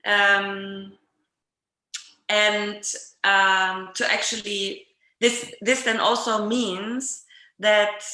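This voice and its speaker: A woman interviewer explaining reflectively in conversation, hesitant and often pausing mid-sentence, recorded with roomy echo. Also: medium pitch, pushed and bright. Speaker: interviewer in an art dialogue. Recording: roomy, echoing sound.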